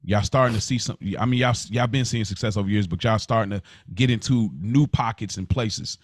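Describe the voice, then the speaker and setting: A man's voice: on the low side, a little fast, no room sound. Man chatting, dry close sound. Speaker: podcast co-host. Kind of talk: casual chat.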